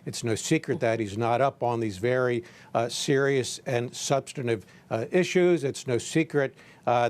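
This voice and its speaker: A man with a medium-pitched voice comments as a political correspondent on a news panel. He makes his points emphatically, in unscripted speech. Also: a little mellow.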